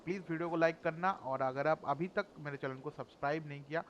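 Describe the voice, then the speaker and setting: A man's voice: fairly high pitch, very fast, highs cut off, a little hiss or hum. A man hosting a gameplay video with casual, colloquial commentary, talking to viewers as friends.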